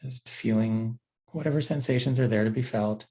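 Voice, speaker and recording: A man teaching a guided body scan meditation, giving gentle, unhurried instructions with frequent pauses. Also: medium pitch.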